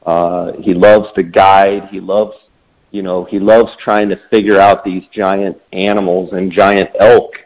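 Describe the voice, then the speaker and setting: Man, podcast host, low-pitched, little pitch movement, conversational, muffled recording. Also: level tone, a little slow, a little mellow.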